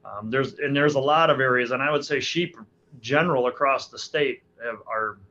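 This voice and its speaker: A man's voice: medium pitch, normal speed, pushed and bright. A man in his forties, a hunting guide, answering interview questions casually with frequent restarts and fillers. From Alaska. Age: 40s. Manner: hesitant, many restarts and fillers. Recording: dull lo-fi sound.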